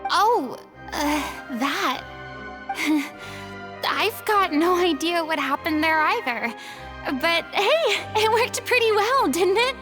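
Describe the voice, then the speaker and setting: A woman voicing a proud, easily flustered young retired stage star in a game, with an expressive, theatrical manner. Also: very high voice, takes it slowly, music in the background, heavily acted. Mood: evasive, awkward. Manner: expressive, hesitant when flustered.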